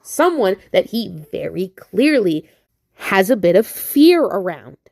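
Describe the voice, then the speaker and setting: A woman's voice: mid-range voice, very animated, takes it slowly, a little mellow. A woman delivering a prepared, persuasive argument in an emphatic, impassioned way with blunt swearing. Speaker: listener sending in a voice message.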